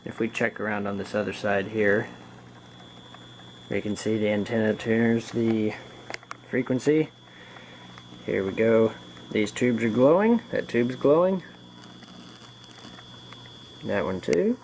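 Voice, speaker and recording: A man's voice: on the low side, slow pace, long pauses, a little mellow, rough recording. A man giving a casual, hands-on demonstration, thinking aloud informally as he works. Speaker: vlogger demonstrating an electronics restoration. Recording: background noise with mains hum.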